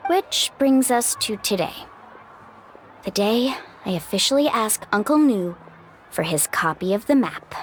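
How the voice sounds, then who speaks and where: A woman's voice: medium pitch, lively intonation, unhurried, a little hiss or hum, lightly acted. An actress voicing an upbeat, friendly young woman who works as a guide, in a video game. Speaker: tour guide. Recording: game audio with faint music. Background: soft game music.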